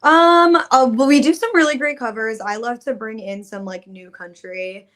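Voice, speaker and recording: A woman's voice: on the high side, normal speed, a bit bright. A young adult woman, a singer guest on a podcast, chatting casually and upbeat with many "like"s and "um"s. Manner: chatty, upbeat, uses "like" and "um" often. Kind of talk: casual interview chat.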